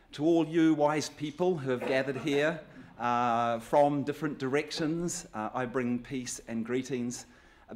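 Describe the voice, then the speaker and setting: A man with a New Zealand accent speaking to an audience in an easygoing, conversational talk. His pitch sits in a mid range for a man, and he drops in light, self-deprecating humour. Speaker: guest speaker. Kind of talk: public talk with slides.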